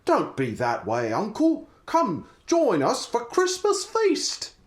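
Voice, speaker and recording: A man's voice: high-pitched, wildly swooping pitch, slow pace, pitched above the performer's own voice, heavily acted. A man voicing an effervescent young cat nephew with a merry British accent in a comic radio play. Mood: merry, pleading. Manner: bubbly, sing-song.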